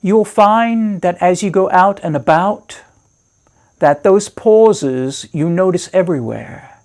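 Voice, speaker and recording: A man leading a guided meditation as a teacher, giving measured, reassuring instructions with deliberate pauses. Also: high-pitched, animated, a little mellow.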